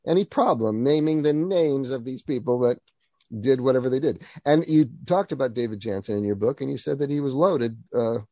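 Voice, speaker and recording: A man's voice: medium pitch, brisk, dark tone, no room sound. A male radio host interviewing a guest in a genial way, chuckling often.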